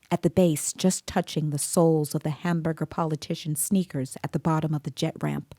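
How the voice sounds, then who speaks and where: An actress playing a tense, caring police investigator, narrating a grim story in measured, literary first person. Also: female voice, deep voice, mellow, no echo, studio quality. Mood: anxious, grave. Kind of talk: first-person scripted audio drama narration.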